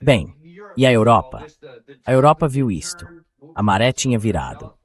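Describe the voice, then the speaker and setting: A somewhat low male AI dubbing voice plays the part of interviewer. He frames political points as rhetorical questions. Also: very animated, normal speed. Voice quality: synthetic AI dubbing voice. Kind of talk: dubbed political discussion.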